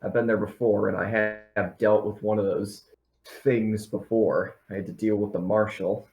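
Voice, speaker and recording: A man playing a practical, steady spaceship crew member with casual, matter-of-fact phrasing in a tabletop game. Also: on the low side, normal speed, gravelly. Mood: determined. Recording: echoey room.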